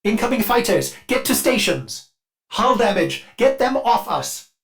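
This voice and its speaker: A man voicing an anxious, servile male ship computer in a video game, formal and halting, with synthetic processing. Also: very high voice, very animated, exaggerated voice. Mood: urgent, alarmed. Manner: formal and obsequious, with halting stammers. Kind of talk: scripted video game character acting.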